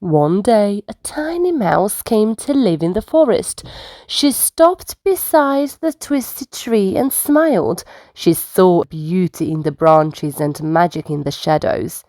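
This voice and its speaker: A woman reading bedtime stories aloud to young children in an expressive, unhurried storytelling manner. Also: mid-range voice, dry recording.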